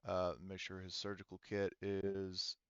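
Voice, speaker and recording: A man working as game master, casually narrating a sci-fi tabletop roleplaying game in a halting, think-aloud way. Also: deep voice, completely flat delivery, smooth, no room sound, lo-fi sound.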